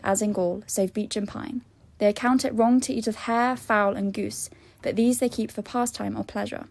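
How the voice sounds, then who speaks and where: A woman's voice: fairly high pitch, vocal fry, darkish tone. Young woman reading formally and steadily. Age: young adult. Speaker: student reader. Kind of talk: dramatic reading of a historical text.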